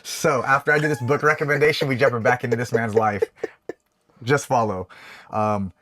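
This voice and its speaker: A man's voice: mid-range voice, steady tone, normal speed, a bit bright. A man hosting a podcast, talking in an enthusiastic, rambling way with lots of fillers. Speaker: podcast host. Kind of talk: casual podcast conversation.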